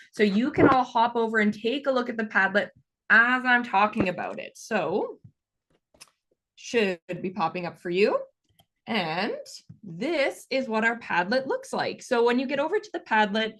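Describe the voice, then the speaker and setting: A woman's voice: mid-range voice, fairly bright tone. A woman hosting an online session for students, walking them through a virtual classroom in a chatty, upbeat way over a video call. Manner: upbeat, chatty, with fillers and restarts. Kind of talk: casual presenting to students.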